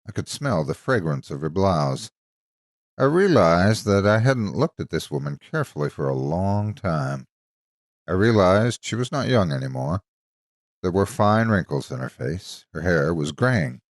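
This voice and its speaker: Male story narrator, low register, highly varied intonation, measured dramatic delivery. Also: big pitch swings, dry recording, clean studio sound.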